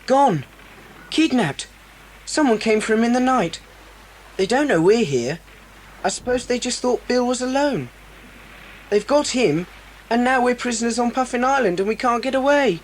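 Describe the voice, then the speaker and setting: A level-headed, plucky child in an audio adventure , played by a woman. The voice is high and lively in pitch, with faint noise behind it. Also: normal speed, long pauses, natural voice. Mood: grave, resolute. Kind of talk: children's audio drama acting.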